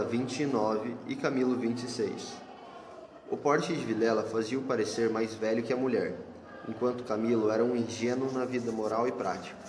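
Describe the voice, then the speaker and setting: A man narrating a scripted literary story for an amateur radio play. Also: medium pitch. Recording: echoey hall with clear noise.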